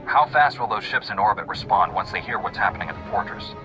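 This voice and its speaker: A man's voice: fairly low pitch, animated, fast talker, over music, close to an everyday voice, rough recording. A man playing an earnest, steadfast young Jedi hero in a video game, composed and direct. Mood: resolute.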